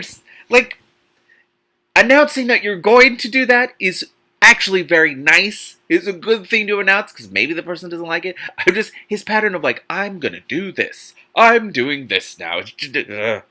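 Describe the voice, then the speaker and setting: A man hosting a dramatic book reading, animated and theatrical, breaking off into exasperated, incredulous, sarcastic commentary. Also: high-pitched, normal speed. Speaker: host reading a novel aloud with commentary.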